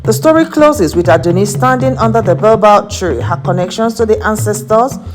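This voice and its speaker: Lively woman narrating a folktale over music. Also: mid-range voice, normal speed. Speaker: storyteller, narrator.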